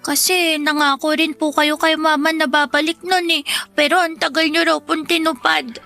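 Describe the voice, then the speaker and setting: A woman's voice: sits high, little pitch movement, moderate pace, creaky, bright, forceful tone, soft background music, exaggerated voice. A woman playing a wistful, respectful young Filipino daughter who misses her father, speaking plaintively and politely in a radio drama. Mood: wistful, hopeful.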